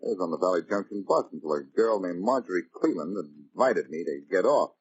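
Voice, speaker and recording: A man's voice: deep voice, expressive, quick pace. A man playing a wisecracking, unflappable private detective in an old-time radio drama, with wry, glib banter. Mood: needling. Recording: noisy, muffled old radio recording.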